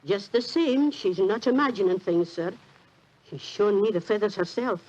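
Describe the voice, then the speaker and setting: An actress playing a humble, kindly old British landlady, husky, deferential and hesitant, in 1940s radio drama style. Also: medium pitch, lively intonation, moderate pace, darkish tone, natural voice. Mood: anxious, earnest. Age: older. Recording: dull 1940s radio recording.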